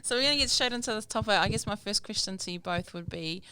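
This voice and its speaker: A woman's voice: mid-range voice, animated, fast talker, a crowd in the background. A woman with a New Zealand accent hosting a podcast in an informal way, mixing Māori words into her English. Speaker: podcast host. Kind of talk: casual interview hosting.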